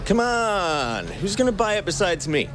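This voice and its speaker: Easygoing male space adventurer actor, wry grumbler, very lively intonation, audio drama with music under. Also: high voice, unhurried. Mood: haggling.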